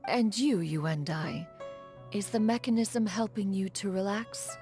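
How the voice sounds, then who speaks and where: An actress playing a proud, self-assured immortal inventor in a video game, speaking in a formal, archaic manner. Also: female voice, medium pitch, measured pace, music in the background, close to an everyday voice. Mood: composed. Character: dignified, proud immortal female adeptus inventor. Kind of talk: video game character acting.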